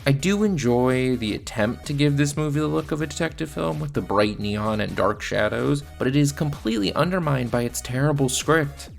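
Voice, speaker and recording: A man's voice: mid-range voice, moderate pace, gravelly, few pauses, soft background music. A man giving scripted film review commentary in a blunt, sardonic manner. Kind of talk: scripted video essay commentary.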